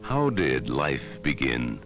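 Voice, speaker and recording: A man narrating a scripted educational film in a measured, dramatic way, on a 1960s film soundtrack. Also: extremely low pitch, very animated, music in the background. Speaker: documentary narrator.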